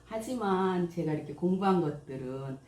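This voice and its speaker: A woman in her sixties, a school principal and picture book author, answering interview questions in a slow, modest, measured way. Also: lowish voice, expressive, warm tone. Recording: echoey room, faint background noise.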